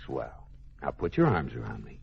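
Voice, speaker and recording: A man's voice: rumbling low, huge pitch swings, moderate pace, gravelly, background noise, exaggerated voice. A man acting a teasing American husband in a 1940s radio sitcom, dry and bantering. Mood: flirtatious. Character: wry, teasing husband, a banker. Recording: muffled old radio recording.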